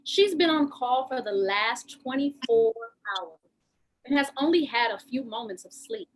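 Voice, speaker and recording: Slow, higher-voiced woman narrating drama. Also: lively intonation. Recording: noisy room ambience.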